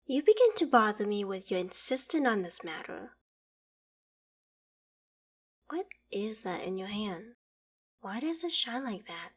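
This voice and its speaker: A woman playing an ancient female dragon in an ASMR roleplay, proud and curious, speaking in a measured, formal, archaic way. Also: medium pitch, big pitch swings, slightly rough. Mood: imperious, wary. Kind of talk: ASMR roleplay audio drama, addressing the listener.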